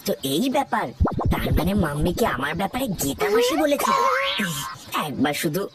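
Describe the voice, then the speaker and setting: A cheeky young cartoon boy who is always scheming. The delivery is exaggerated and highly animated in pitch. Loud music plays under the voice. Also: a little fast. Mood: scheming, annoyed. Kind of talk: animated cartoon comedy dub.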